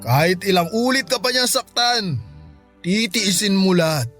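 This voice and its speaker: A man's voice: high voice, animated, moderate pace, fairly bright tone, faint music behind. A man playing a tipsy, lovelorn middle-aged uncle with a Filipino accent, reflective and emphatic, in a radio drama. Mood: lovelorn, resigned. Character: tipsy, lovelorn uncle abandoned by his partner. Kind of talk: Tagalog radio drama acting.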